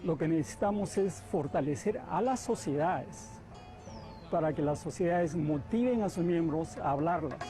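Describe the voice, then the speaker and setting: A man's voice: on the high side, animated, moderate pace, over music. A man being interviewed who gives a formal explanation.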